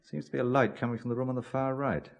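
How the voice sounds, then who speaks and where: A man's voice: mid-range voice, steady tone, fast talker, creaky, lightly acted. An actor playing a dry, stubborn married man turned amateur sleuth in an audio spy drama, with a wry, clipped delivery. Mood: wry, curious.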